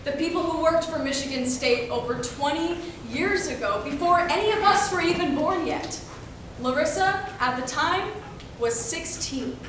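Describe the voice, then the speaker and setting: A young woman giving a solemn, deliberate scripted dramatic recitation as a reader's theater performer. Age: young adult. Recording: echoey room, distant mic, clear rumbling noise.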